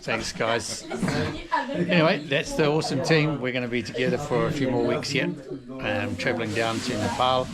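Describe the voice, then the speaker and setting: A man's voice: mid-range voice, steady tone, normal speed. A man hosting a travel vlog, talking casually to the camera. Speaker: travel vlogger, host. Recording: noisy outdoor camera audio, wind and chatter.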